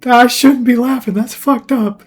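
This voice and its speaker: Woman as a high-voiced spirit animal mascot. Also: steady tone, measured pace, husky. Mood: awkward. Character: bubbly, ethereal spirit animal mascot, watchful and gently scolding. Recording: music under the voice.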